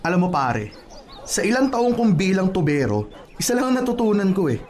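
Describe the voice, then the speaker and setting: An actor playing an earnest, caring plumber who gives admonishing advice in a radio drama. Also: male voice, high voice, sing-song, a little mellow. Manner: admonishing, deliberate advice-giving. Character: earnest, caring plumber, a man scolding a friend. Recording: music behind the voice.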